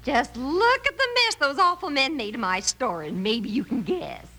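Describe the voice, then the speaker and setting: A swooping, sweetly bossy storekeeper. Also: female voice, sits high, very animated, normal speed, bright, forceful tone, heavily acted. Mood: coaxing. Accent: American. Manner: gushing, coy, wheedling. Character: coaxing, sweetly bossy female frontier storekeeper. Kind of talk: 1950s western radio acting. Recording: noisy vintage radio recording.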